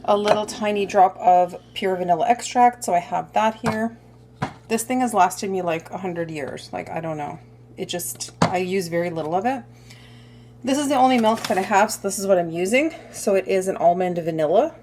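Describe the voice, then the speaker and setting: A woman filming a casual cooking video in her home kitchen, chatty and full of little asides, her voice a bit hoarse. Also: mid-range voice, normal speed, noisy background. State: slightly hoarse. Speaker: cooking vlogger.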